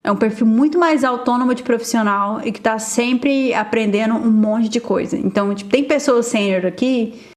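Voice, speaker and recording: A woman's voice: fairly high pitch, moderate pace. A Brazilian woman talking casually as a podcast guest, chatty and full of fillers, often acting out what others said. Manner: chatty, many fillers, quotes others in lively asides. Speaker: guest software engineer.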